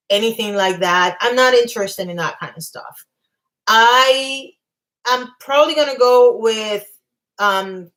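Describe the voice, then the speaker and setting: A woman hosting a casual livestream while losing her voice, rambling and often clearing her throat. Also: mid-range voice, a bit bright. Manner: chatty, reads viewer comments aloud. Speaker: livestream host.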